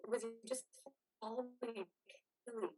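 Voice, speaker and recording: Woman playing husky, bitter, protective soldier's partner. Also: on the high side, fairly even intonation, normal speed, warm tone, close to an everyday voice. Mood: bitter, accusing. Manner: blunt, biting, profane. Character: bitter, exhausted, fiercely protective woman of troubled veteran. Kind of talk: play reading, modern drama.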